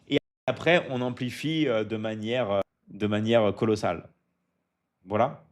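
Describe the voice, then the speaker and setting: A man's voice: lowish voice, a little slow. A man from France, hosting a business coaching live stream. He speaks casually and repeats himself a lot, full of fillers and hype, and keeps checking in with his viewers.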